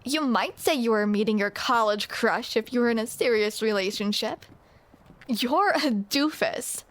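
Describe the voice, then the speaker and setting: A woman's voice: fairly high pitch, measured pace. A young woman performing a scripted romantic roleplay to the listener, with playful, teasing banter.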